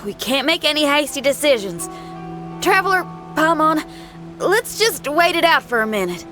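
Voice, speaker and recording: A woman's voice: high voice, sing-song, normal speed, music in the background. A woman voicing a spirited, loyal young female rocker in a video game, with a folksy, plain-spoken manner. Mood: cautious.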